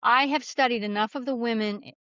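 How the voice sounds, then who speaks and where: Higher-pitched woman, slightly dull recording. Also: fast, fairly bright tone, clear, resonant tone, no room sound. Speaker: guest author being interviewed. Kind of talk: conversational interview answers, storytelling.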